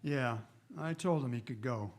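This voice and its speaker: An actor playing a stern, laconic frontier marshal in a western radio drama, speaking in terse, folksy, matter-of-fact lines. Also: male voice, mid-range voice, normal speed, natural voice. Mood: weary, wry.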